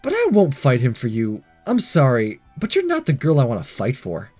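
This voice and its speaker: A man's voice: mid-range voice, huge pitch swings, normal speed, a little mellow, soft background music. A man doing a let's play, reading a visual novel aloud with casual, sarcastic asides and the odd stumble over words. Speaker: let's player.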